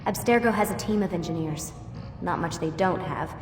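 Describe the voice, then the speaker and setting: An actress playing a calm, patient young lab scientist, low-key and placating, in naturalistic video game dialogue. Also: female voice, moderate pace, noisy background, lightly acted. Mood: resigned, patient. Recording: distant, echoey lab, music behind.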